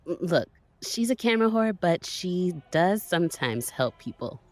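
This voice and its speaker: A woman's voice: on the low side, very animated, a little slow. An actress playing a weary, sceptical camerawoman sidekick in a horror audio drama, dry and grumbling.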